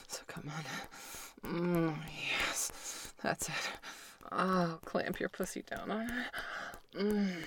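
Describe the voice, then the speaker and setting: Female performer as a commanding, teasing adult heroine interrogator; breathy, unhurried and husky-low. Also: a bit bright, slight background noise, full character voice. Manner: breathy whispering, moaning, slow and sultry. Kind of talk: erotic audio roleplay.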